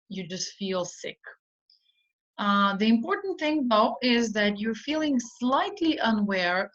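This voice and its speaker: A woman teaching an English idiom in an online lesson, speaking patiently with simple explanations and frequent ums. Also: mid-range voice. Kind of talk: slow online vocabulary lesson. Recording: dull lo-fi recording.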